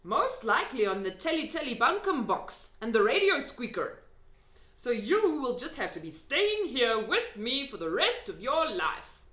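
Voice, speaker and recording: Lady doing a big friendly storybook giant, high swoopy voice, earnest n excitable, muddles his grammar, echoey room. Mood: insistent. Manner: muddled grammar, emphatic drawn-out delivery. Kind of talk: children's storybook character reading.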